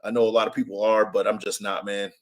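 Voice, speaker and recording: A man with a raspy voice hosting a sports fan podcast, chatting casually and repeating himself for emphasis. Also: fairly low pitch, fast talker. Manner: animated, repeats himself, reads chat comments aloud. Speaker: podcast host. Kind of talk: casual live-stream chat.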